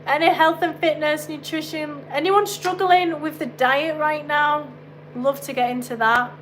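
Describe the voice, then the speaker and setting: A woman's voice: high-pitched, fairly even intonation, unhurried, fairly bright tone, slight background noise. A woman with an English accent, a fitness coach chatting casually through a live question-and-answer stream from her home kitchen. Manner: chatty, rambling, asides while cooking.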